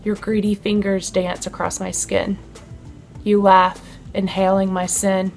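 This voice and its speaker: A woman giving a dramatic poetry reading, deliberate, with pauses between short phrases. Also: mid-range voice, level tone, vocal fry, soft background music. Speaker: poetry reader.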